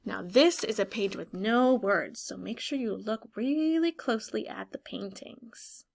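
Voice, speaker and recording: A woman teacher doing an interactive read-aloud for young children, expressive and instructive, stressing key words and pausing after questions. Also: medium pitch, vocal fry.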